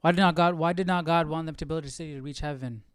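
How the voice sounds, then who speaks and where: Higher-voiced man, very clean and dry. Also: steady tone, quick pace, smooth, dry recording. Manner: informal, musing, thinks aloud. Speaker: livestream host. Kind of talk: casual reaction commentary.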